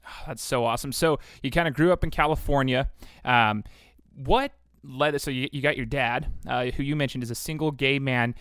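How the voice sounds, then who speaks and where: A man's voice: medium pitch, normal speed, a bit bright, smooth, no room sound, studio quality. A man hosting a casual sports interview podcast, upbeat and chatty with plenty of fillers. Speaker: podcast host.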